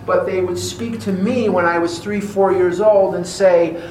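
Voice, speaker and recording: A man with a Chicago American accent giving a personal testimony speech, emphatic and deliberate, repeating phrases for effect. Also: sits high, fairly even intonation, a little mellow. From Chicago, USA. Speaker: guest speaker at recovery meeting. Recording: very echoey room, distant mic, clear background noise.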